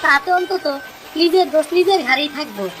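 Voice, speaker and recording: A woman's voice: high-pitched, animated, fairly quick, fairly bright tone, faint music behind. A woman voicing a frustrated young fisherman in a cartoon story, plaintive and complaining, with a rural Bangladeshi Bengali accent. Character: frustrated poor young fisherman, pleading and torn.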